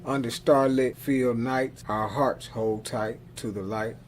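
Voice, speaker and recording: A man giving a dramatic poetry reading in a measured, lyrical manner. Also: medium pitch, steady tone. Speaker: poetry reader. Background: soft guitar music.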